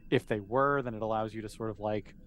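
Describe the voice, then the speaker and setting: A man's voice: on the low side, steady tone, fast talker, fairly bright tone, slight background noise. A man running a tabletop roleplaying game as game master, explaining and narrating in an informal, conversational way.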